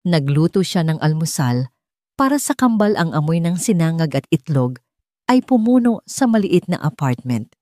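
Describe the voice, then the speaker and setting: A woman's voice: deep voice, big pitch swings, moderate pace, warm tone, dry recording, studio quality. A woman narrating a scripted dramatic story as an audiobook reader.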